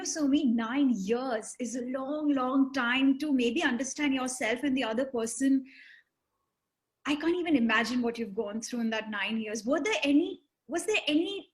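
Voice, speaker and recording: A woman radio host asking gentle, curious questions and giving soft murmured replies. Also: sits high. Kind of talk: radio interview.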